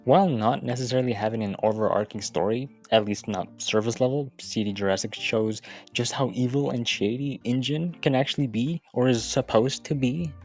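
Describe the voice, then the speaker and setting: A man's voice: mid-range voice, fairly quick, darkish tone. A man narrating a video essay in a casual, informal way, with wry, sweary asides. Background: background music.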